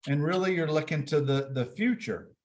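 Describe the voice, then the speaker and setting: A man's voice: a little high, moderate pace, a little mellow. A man hosting a sports interview podcast, himself a former college player, asking long conversational questions.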